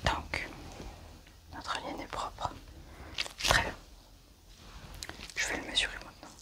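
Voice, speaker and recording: A whispered ASMR voice in the role of a medical examiner. The delivery is soft and methodical, describing each step of the procedure in a hushed breath. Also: slow pace. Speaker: ASMR roleplayer acting as an examiner doing an alien autopsy.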